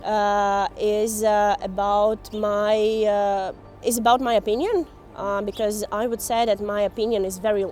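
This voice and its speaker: A young woman from Latvia whose first language is Russian, answering interview questions haltingly in a second language. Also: medium pitch, little pitch movement, a little mellow, soft background music. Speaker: documentary filmmaker and activist.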